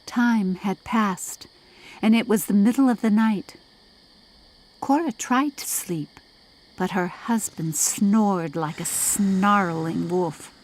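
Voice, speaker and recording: Slow, dramatic woman audiobook narrator. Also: mid-range voice, rough recording. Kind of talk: scripted storybook narration.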